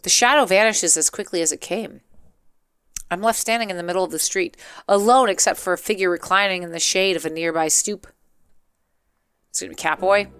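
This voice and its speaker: A woman's voice: on the low side, a little fast, fairly bright tone, clear, resonant tone, dry recording. A young adult woman streaming a visual novel, reading it aloud expressively and breaking off into playful casual asides. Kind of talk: let's play, reading game text aloud with casual asides. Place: small room at home. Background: guitar game music.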